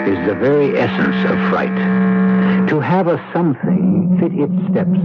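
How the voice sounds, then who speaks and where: Male radio host, ominous narration, slow, sweeping intonation, theatrical, vintage lo-fi recording. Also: lowish voice. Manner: dramatic, ominous, deliberate phrasing. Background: music.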